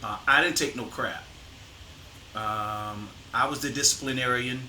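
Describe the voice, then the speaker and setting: A middle-aged man speaks in a firm, emphatic way, leaning into his points. His voice is very bright and forward. The room is echoey, with a faint hiss behind him. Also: mid-range voice, steady tone, measured pace. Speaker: host, father interviewing his son. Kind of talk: casual conversation.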